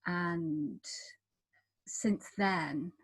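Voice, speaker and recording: A woman screenwriter introduces herself on an online panel in a chatty, informal way. Her voice is husky and the sound is dry, with little room to it. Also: on the low side, very slow, no echo. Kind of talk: casual, chatty self-introduction at an online event.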